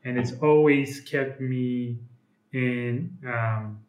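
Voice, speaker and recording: A man's voice: medium pitch, very slow, darkish tone. A young man from Ethiopia, a guest on an interview podcast, speaks hesitantly with many fillers and restarts. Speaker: guest, comic book publisher. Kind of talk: casual interview. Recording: roomy echo.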